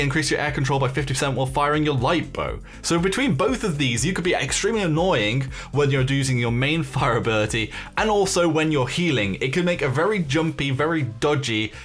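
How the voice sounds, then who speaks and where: A man's voice: mid-range voice, fairly bright tone. A man hosting a gaming video, giving casual commentary in an explanatory, tentative, speculative way as he talks through details. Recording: music behind the voice.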